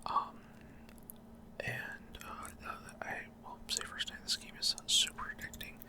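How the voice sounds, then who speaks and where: Whispering man in halting ASMR game commentary. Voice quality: soft, unvoiced whisper. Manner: halting, frequent pauses mid-sentence. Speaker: gaming video host.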